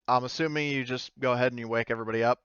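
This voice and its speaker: Clear, smooth-voiced man running a tabletop game. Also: mid-range voice, steady tone, racing, fairly bright tone, no echo, lo-fi sound. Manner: informal, swears casually. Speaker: game master. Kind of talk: casual tabletop game narration.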